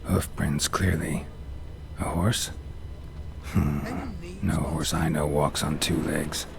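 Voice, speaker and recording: Very deep, gravelly monster hunter. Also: male voice, slow, noisy background, exaggerated voice. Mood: musing. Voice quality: low gravelly voice. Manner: terse, deadpan muttering. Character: stoic, gruff adult male monster hunter. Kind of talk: video game voice acting.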